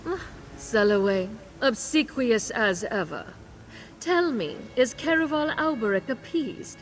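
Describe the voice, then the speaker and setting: A woman's voice: fairly high pitch, lively intonation, measured pace, poor audio. An actress playing a condescending, imperious noblewoman who organizes hunts for the elite. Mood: haughty. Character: haughty noblewoman who hosts cruel hunts. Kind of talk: video game voice acting.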